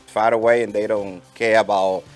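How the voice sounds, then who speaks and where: A man with a Dominican accent, co-hosting a casual community talk show, excitable and given to repeating his phrases. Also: on the low side, moderate pace, soft background music. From Dominican Republic. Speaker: co-host. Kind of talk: casual chat.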